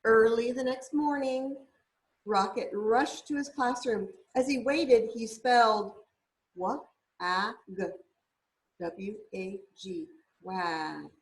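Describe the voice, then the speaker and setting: A woman reading a storybook aloud to young children in a deliberate, teacherly way, sounding words out carefully. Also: a little high, rough recording. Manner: deliberate, spells words out letter by letter.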